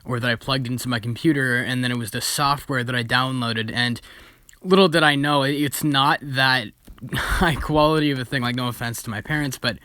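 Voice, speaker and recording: A young man with a bright, forward voice recorded close and dry. He talks casually as a video host reacting to footage, informal and amused, gently poking fun at himself. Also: medium pitch, fairly even intonation, moderate pace, no room sound. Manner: informal, amused, self-deprecating.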